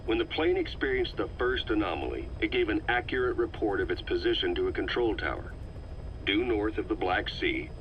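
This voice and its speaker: An actor playing a calm, middle-aged male agent who methodically explains a conspiracy to the listener on a cassette tape. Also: fairly low pitch, slight background noise, close to an everyday voice. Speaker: intelligence briefer on a cassette tape. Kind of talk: scripted game voice acting. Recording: slightly muffled cassette tape recording.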